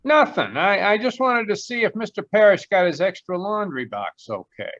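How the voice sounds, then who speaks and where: Man voicing a hesitant young worker, somewhat high voice, dull lo-fi call audio. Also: big pitch swings, moderate pace, a bit bright. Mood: nervous. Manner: hesitant, stammering. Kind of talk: radio drama read live over video call.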